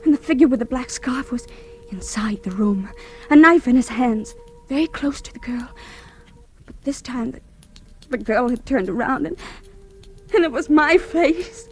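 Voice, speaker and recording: An actress playing a fragile, panicked young woman in an old radio horror play, breathless, halting and repeating herself. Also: sits high, expressive. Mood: distraught. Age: young adult. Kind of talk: 1940s radio horror acting, monologue. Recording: old radio drama with music underneath.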